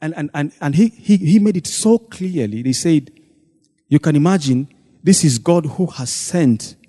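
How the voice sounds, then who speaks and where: A man's voice: fairly high pitch, animated, a little slow, warm tone. A man, a deacon telling the congregation a story about a church meeting and acting out the people he quotes. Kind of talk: church testimony retelling a meeting. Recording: long hall reverb.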